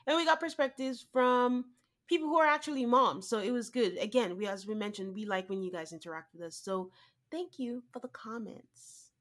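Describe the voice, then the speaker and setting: A woman co-hosting a podcast, nasal and congested from a cold, chatting casually with quick asides and laughs. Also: fairly high pitch, fairly bright tone. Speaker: podcast co-host. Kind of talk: casual chat.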